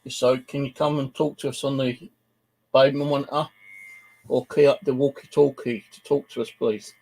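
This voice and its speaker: A man's voice: medium pitch, level tone, normal speed, gravelly, a little mellow, a little hiss or hum, rough recording. A man hosting a paranormal investigation, speaking informally as he comments and calls out questions. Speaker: ghost-hunting channel host. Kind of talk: casual spoken commentary, calling out to spirits.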